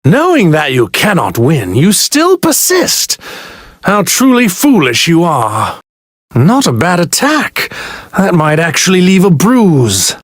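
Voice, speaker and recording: Haughty villainous god, swooping delivery. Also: male voice, high voice, no room sound, studio quality, heavily acted. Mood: condescending menace. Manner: arrogant, disdainful, grandly formal. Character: haughty villainous god in a stolen warrior body. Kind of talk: theatrical anime fighting game voice lines.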